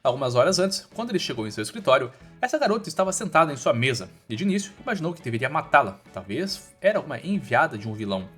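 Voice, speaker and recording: Lively Brazilian man narrating a story recap. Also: on the high side, fast talker, few pauses, husky. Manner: storytelling with colloquial slang. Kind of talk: informal scripted story narration. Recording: voice-over with background music. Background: music.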